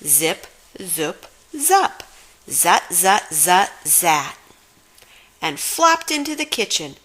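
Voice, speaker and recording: A woman's voice: lowish voice, very animated, very slow, a bit bright. A woman reading a children's storybook aloud expressively, giving dramatic emphasis to the sound words. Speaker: storybook reader.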